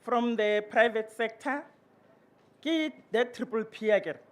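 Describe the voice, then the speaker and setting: A man emcees a formal ceremony, moving between Setswana and English as he hands over to each speaker. His voice is high for a man. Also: a little slow, fairly bright tone. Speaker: program director of a ceremony. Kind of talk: ceremony MC announcements.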